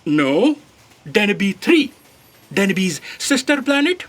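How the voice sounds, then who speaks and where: This is a polite, literal-minded assistant from a comic sci-fi audio play, performed by an actor. The middling voice objects conscientiously and in measured words. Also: mid-range voice, big pitch swings. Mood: earnest. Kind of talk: comedic sci-fi audio drama acting.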